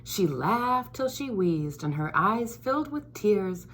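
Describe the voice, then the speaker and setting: Expressive woman reading a picture book aloud. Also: mid-range voice, slow pace, a little hiss or hum. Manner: expressive, sing-song storytelling with dropped whispers. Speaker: school board member reading aloud.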